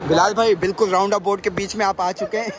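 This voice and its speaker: Vlogger, man, high voice, excitable tour guide, noisy crowd and traffic behind. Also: quick pace. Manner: excited, loud, calls out sights. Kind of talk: casual chat while walking. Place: busy mall and streets outdoors. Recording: loud crowd and street noise.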